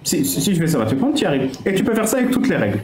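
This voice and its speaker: A man's voice: high voice, very animated, rapid-fire, a little mellow. A man calling into a debate, explaining an argument step by step and restarting his sentences. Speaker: caller. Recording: roomy with faint noise.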